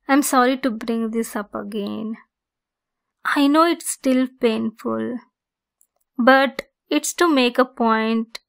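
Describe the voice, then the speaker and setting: A woman playing a lonely, obsessive, domineering female centaur in an ASMR roleplay, speaking deliberately and insistently. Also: fairly high pitch, vocal fry. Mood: wistful, obsessive. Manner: slow, deliberate, insistent, clingy.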